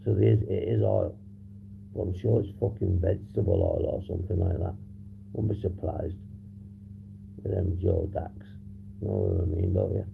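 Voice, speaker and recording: A man hosting a casual livestream, hoarse and husky, rambling and swearing as he reads the chat. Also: deep voice, steady tone, normal speed, pauses often, narrow, tinny audio. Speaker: livestream host. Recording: noisy background hum.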